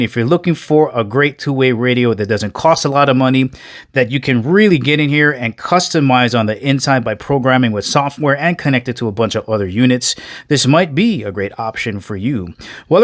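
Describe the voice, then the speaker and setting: Man narrating a casual product review. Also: medium pitch. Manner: conversational, addresses viewers as guys.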